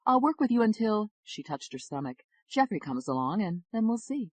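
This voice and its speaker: A woman's voice: mid-range voice, sing-song, moderate pace, a little mellow, no room sound, pitched above the performer's own voice. A woman voicing a playful, supportive young wife who teases affectionately. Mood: teasing.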